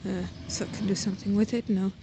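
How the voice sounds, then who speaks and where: Woman doing a casual walk-around tour outside, pretty monotone, kinda hesitant, bit of background noise on a handheld mic. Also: medium pitch, fast talker. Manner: hesitant, informal, thinking aloud while walking. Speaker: host giving a tour of their own garden plot. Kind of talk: casual unscripted walking tour narration. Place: outdoors around a house and garden. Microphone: handheld camera or phone while walking. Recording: noisy outdoor handheld recording, ambient sound.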